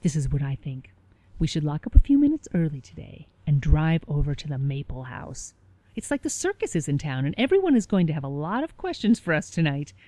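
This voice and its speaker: A woman's voice: low-pitched, sing-song, fairly quick, dark tone, no echo, low-quality recording. A woman playing a sour, anxious, prim small-town woman in a radio play. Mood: sour, guarded.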